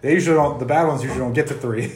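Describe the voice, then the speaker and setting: A man's voice: medium pitch, very fast. A young man co-hosting a casual chat, laid-back and rambling, a bit stuffy-nosed from the sniffles. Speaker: podcast co-host. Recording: echoey room with faint hiss.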